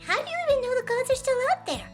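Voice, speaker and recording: Small cartoon opossum daughter, tiny high voice, inquisitive, blunt, skeptical, loud music underneath. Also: female voice, very animated, very fast. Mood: curious, skeptical. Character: little opossum girl, curious, blunt, pestering daughter. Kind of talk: animated fandub acting.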